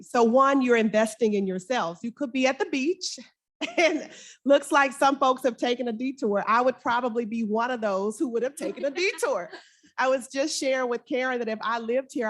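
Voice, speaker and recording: A woman from Arkansas with a Southern drawl, talking informally and jovially on a conference panel. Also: on the high side. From Arkansas, United States. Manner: folksy, jovial.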